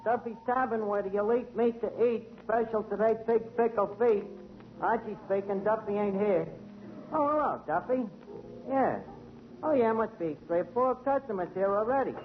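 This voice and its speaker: A brash, wisecracking man with a high voice works the room on a 1940s radio comedy. He talks big and mangles his words. The old broadcast sounds dull and carries a faint hiss. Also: moderate pace. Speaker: tavern manager and show host.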